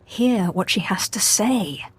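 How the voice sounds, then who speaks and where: Lively, bold dark sorceress. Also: female voice, medium pitch, slow pace, husky, full character voice, poor audio. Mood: insistent. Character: bold, meddling female dark-side sorceress. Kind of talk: dramatic video game cutscene acting.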